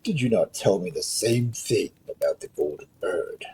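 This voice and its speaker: A man's voice: sits low, expressive, moderate pace, warm tone, a little hiss or hum, voice pushed down. A man voicing a commanding, distrustful king in a folk tale, stern and imperious.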